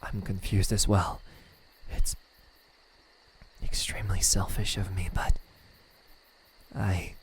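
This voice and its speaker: A man voicing a lonely, tender demon in love in an ASMR roleplay, speaking softly and haltingly, often near a whisper. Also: low voice, level tone, slight background noise. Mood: hesitant, vulnerable. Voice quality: breathy, soft, half-whispered. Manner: hesitant, halting, stammering. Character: lovesick male demon, lonely, tender, self-doubting.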